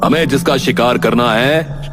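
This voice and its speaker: A man's voice: medium pitch, measured pace, close to an everyday voice. A man voicing a foolish, impatient cartoon king with a pompous, scolding, commanding manner. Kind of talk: cartoon voice acting. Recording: music behind the voice.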